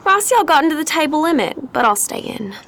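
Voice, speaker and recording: A woman's voice: high-pitched, very animated, fairly quick, a bit bright, slight background noise, exaggerated voice. A woman playing a chatty, folksy Southern belle at cards in a 1920s period radio drama, with a Southern US accent. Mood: folksy, sweet. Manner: folksy, sweet, gushing.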